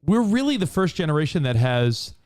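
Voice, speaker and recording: A man in his forties co-hosting a podcast in casual chat, animated and fond of acting out quoted voices. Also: fairly high pitch, brisk. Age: 40s. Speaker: podcast co-host.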